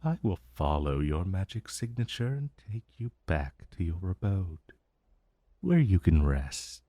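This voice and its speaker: A man playing an ancient dragon in a romantic fantasy ASMR roleplay, possessive and menacing yet tender, with breathy, drawn-out, condescending endearments. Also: sits low, very animated, mellow, no echo, studio quality, full character voice. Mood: hushed, soothing.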